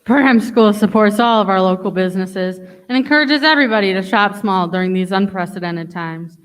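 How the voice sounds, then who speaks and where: A woman's voice: mid-range voice, moderate pace, smooth. A woman announcing a radio show in a scripted introduction, with long reverb and music behind her.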